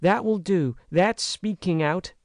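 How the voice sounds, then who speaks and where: A man's voice: high-pitched, slow pace, no echo, clean studio sound, voice pushed up. A man reading an audiobook, voicing an earnest, frank young American woman who pleads with emphasis. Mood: earnest pleading.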